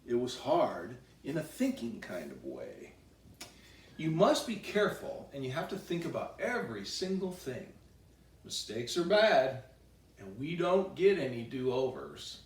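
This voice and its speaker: A man reading a children's bedtime story aloud in a playful, expressive way with chatty asides. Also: medium pitch, measured pace. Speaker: children's author reading his own book. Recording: roomy echo, distant microphone.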